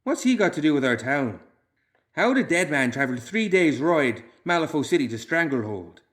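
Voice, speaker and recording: A man putting on a bitter young farm boy who blurts his words out in rough country speech. The voice is pushed higher and louder than the narration in a storybook reading. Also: sing-song, normal speed. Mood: angry. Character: bitter young male farmhand.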